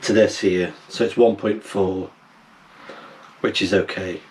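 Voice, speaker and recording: A middle-aged man chatting casually as he tinkers, halting and thinking aloud, recorded indoors on a camera mic. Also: low-pitched, fairly even intonation, darkish tone, slight background noise, low-quality recording. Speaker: amateur radio vlogger. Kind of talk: casual vlog chat while tinkering and unboxing. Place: small wooden cabin, indoors.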